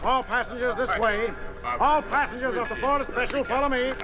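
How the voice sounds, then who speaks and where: An actor playing a bossy, impatient guard who barks orders at passengers in a 1950s radio drama. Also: male voice, extremely high pitch, fairly quick. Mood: barking. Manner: barking orders, brusque and impatient. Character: bossy shouting male guard herding bus passengers. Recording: old radio recording with music.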